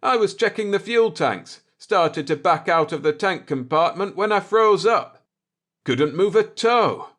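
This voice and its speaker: A man voicing a blunt, demanding male spaceship navigator in an audiobook, questioning forcefully and urgently. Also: sits high, animated, measured pace, pitched above the performer's own voice, exaggerated voice. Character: tall, lean, keen-eyed spaceship navigator, blunt and demanding. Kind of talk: dramatised audiobook character dialogue.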